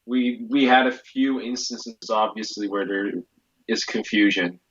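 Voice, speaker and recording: Low-voiced hesitant man, dull lo-fi sound. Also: fairly even intonation, unhurried, a bit bright. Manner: hesitant, many restarts and fillers. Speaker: guest. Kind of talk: casual conversation.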